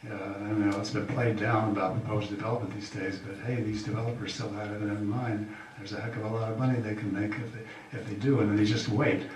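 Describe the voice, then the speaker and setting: An older man, an incumbent county official, giving a halting, folksy campaign speech full of fillers. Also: on the low side, little pitch movement, darkish tone. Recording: echoey hall from far off, faint hiss.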